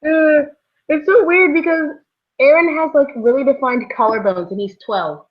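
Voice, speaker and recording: Dull-sounding, high-pitched young woman chatting. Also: moderate pace. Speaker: book discussion show co-host. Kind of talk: casual book chat.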